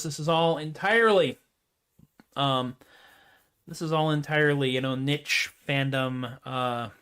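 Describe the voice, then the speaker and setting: A man hosting his own music livestream, chatting casually with self-deprecating jokes and trailing off into quiet asides. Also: fairly high pitch, smooth. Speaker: host and singer-songwriter. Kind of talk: casual livestream chat after a song.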